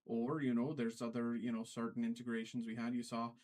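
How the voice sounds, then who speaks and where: A man hosting a trading card livestream in a put-on Irish accent, casually calling out cards in a halting way and often repeating himself. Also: medium pitch, flat delivery, a little mellow.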